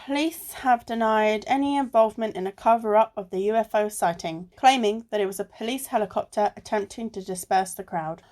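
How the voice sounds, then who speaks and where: A woman playing a clever, level-headed amateur sleuth in an amateur radio play, with a measured delivery. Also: mid-range voice, a little mellow, natural voice. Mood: focused. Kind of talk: amateur radio play acting, often reading articles aloud. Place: recorded alone at home.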